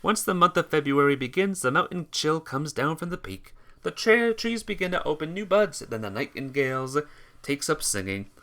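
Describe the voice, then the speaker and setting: A man giving an expressive, theatrical reading of a visual novel as narrator, occasionally stumbling over words. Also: on the high side, moderate pace.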